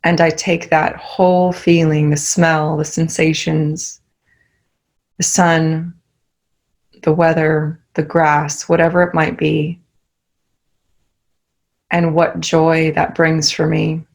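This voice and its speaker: A young woman guides a meditation in a calm, soothing way. Her voice sits low and stays level, with little rise or fall. She takes her time, and every instruction comes out slow and soft. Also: vocal fry.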